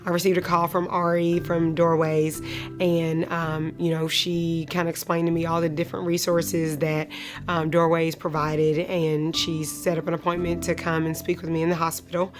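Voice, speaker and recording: A woman of thirty-five giving a personal testimony in an interview, speaking in a measured way with pauses. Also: fairly low pitch, little pitch movement. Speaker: client of a shelter charity telling her story. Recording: music playing behind the voice. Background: instrumental music.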